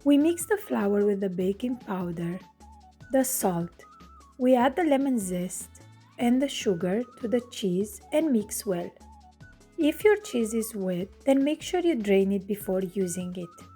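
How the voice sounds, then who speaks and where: Woman from Romania, cooking host, mellow husky voice, step-by-step recipe narration, light music behind. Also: medium pitch, expressive, unhurried. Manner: clear step-by-step instructing.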